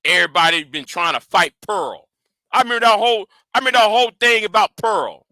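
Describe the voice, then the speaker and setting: High, sharp-voiced man ranting combatively. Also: expressive, quick pace, no echo. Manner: combative, profane, taunting; repeats and restarts words. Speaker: channel host. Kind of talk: confrontational livestream rant.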